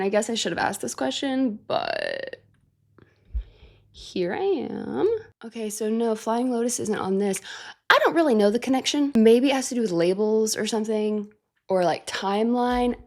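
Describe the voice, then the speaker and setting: A woman's voice: a little high, animated, moderate pace. Sick, nasal woman chatting to camera. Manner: chatty, rambling, thinks aloud with small laughs and fillers. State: sick and congested. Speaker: music reaction channel host. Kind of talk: casual reaction chat to camera.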